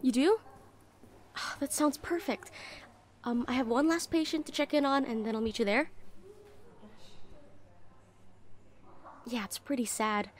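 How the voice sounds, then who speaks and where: Caring young hospital nurse, woman voice actor, lightly high voice, gentle and hesitant, protective, intimate roleplay delivery. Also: moderate pace, lightly acted. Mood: earnest, concerned. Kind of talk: intimate audio roleplay acting.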